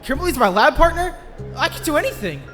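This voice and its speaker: Guy doing a brooding nervy teen boy, earnest rambling, lively pitch, big reverb, comic dub. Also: fast talker, faint music behind, full character voice. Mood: brooding, determined. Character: anxious, brooding teenage boy, science-obsessed. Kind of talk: acted comic dub with inner monologue.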